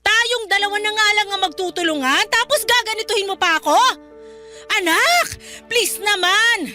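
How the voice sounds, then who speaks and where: A woman's voice: very high-pitched, normal speed, soft background music, full character voice. An actress playing an exasperated, strict single mother scolding her college-age son in a radio drama. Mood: pleading. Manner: scolding, emotional, forceful.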